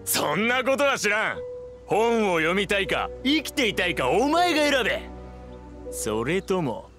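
A high, wildly swooping male voice for a menacing game thug. He talks rough and sneers as he bullies people, with music clearly playing behind him. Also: very animated, measured pace. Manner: rough, threatening, sneering speech. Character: bullying thug debt collector, menacing and mocking grown man. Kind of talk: Japanese anime game dubbing.

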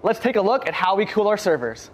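Upbeat male tour host, high voice. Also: very animated, quick pace, background noise. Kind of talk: scripted guided tour narration.

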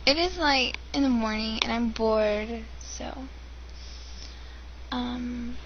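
A woman's voice: on the high side, slow pace. A young American woman rambling on a webcam, bored and giggly, with many ums. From United States. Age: young adult. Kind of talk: casual webcam vlog. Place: dim room indoors. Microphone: webcam built-in mic. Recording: dull lo-fi webcam audio with faint hiss.

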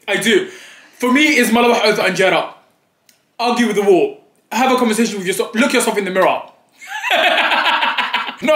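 A man's voice: high-pitched, bright, forceful tone, reverberant. A young man, chatting dramatically and playfully in a food vlog while eating at a table. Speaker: vlogger. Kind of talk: mukbang vlog chat. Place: small indoor studio set at a table.

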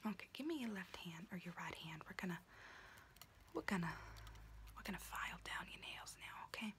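A woman's voice: on the low side, expressive, brisk, lightly acted. A woman voicing an unhinged, conspiracy-minded auntie doing someone's nails, whispering throughout in an ASMR roleplay and rambling with pet names.

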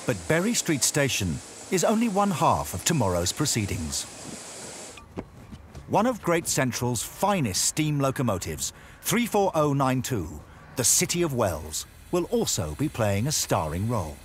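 A man's voice: mid-range voice, sing-song, unhurried, slight background noise. A man with an English accent narrating a documentary in a wry, storytelling way. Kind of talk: scripted narration. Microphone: studio voice-over. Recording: voice-over over loud music. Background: music.

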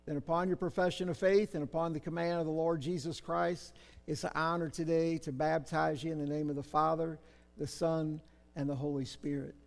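Middle-aged male pastor, gravelly and earnest, baptism officiant in a reverberant church. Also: on the high side, level tone, fairly quick. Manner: earnest, gentle prayerful delivery. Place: church baptistry, large sanctuary.

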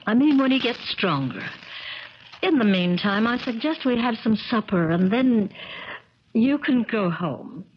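An actress playing a loyal, firm niece with a deliberate manner in a 1970s radio drama. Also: female voice, fairly high pitch, animated. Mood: defiant, firm. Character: loyal, firm young niece guarding her uncle. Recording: crackly, dull 1970s radio recording.

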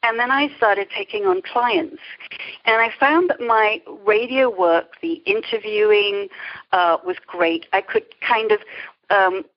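A woman's voice: on the high side, a little slow. A middle-aged woman with an English accent, telling her story in a reflective, articulate, conversational way as an interview guest. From England. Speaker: interview guest, creativity coach. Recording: muffled lo-fi call audio.

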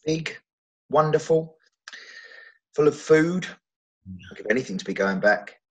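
An actor playing a silly, good-natured middle-aged wizard dad in a playful, mock-grand manner, at a table read over video call. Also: male voice, fairly high pitch, expressive, a little slow, a bit bright, low-quality recording. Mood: fond. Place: room at home, video call.

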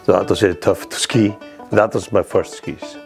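A middle-aged man from Iceland's East Fjords with an Icelandic accent, reminiscing in halting, plain, non-native English in a documentary interview. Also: fairly low pitch, fairly even intonation, gravelly, darkish tone. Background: guitar music.

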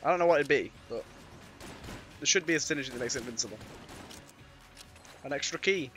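British male gaming YouTuber, bright slightly raspy voice with a cold, playful casual commentary over game music. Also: medium pitch, animated, fairly quick. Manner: playful, mildly sweary. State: has a cold. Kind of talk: casual let's-play commentary.

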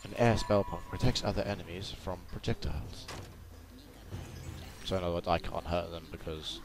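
A deep-voiced man chats casually through a video game he is hosting. He is playful, tossing out exclamations and vocal sound effects, with game music playing strongly behind him. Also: moderate pace. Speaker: gaming video host. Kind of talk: casual let's-play commentary.

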